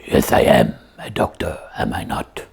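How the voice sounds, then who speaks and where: A slow, gravel-throated puppet doctor for a comic puppet show. The character is pompous and bumbling, bragging in a rasping, rock-bottom register. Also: rumbling low, expressive, a little mellow, heavily acted. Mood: self-important. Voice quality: very raspy, gravelly, husky. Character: slipper puppet Doctor Holmes, boastful, bumbling foot-doctor detective.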